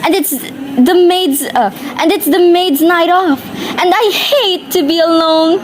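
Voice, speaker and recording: An actress in a radio play, playing an anxious, demanding invalid wife who is easily exasperated and insistent. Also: female voice, extremely high pitch, takes it slowly, slight background noise. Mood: insistent, fretful. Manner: agitated, insistent, indignant. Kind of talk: old-time radio play acting.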